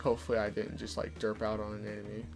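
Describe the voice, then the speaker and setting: A man's voice: completely flat delivery, quick pace, vocal fry. A young man giving casual, chatty commentary over his gameplay, explaining tips as he plays. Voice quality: deeper voice. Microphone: desk condenser mic. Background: soft background music.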